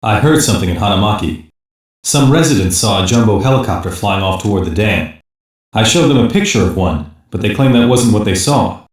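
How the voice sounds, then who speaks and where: Male actor as sober intelligence investigator, slightly low voice, measured reports, strongly echoed sci-fi game audio. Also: animated, distant mic, close to an everyday voice. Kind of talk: English animated sci-fi game voice acting.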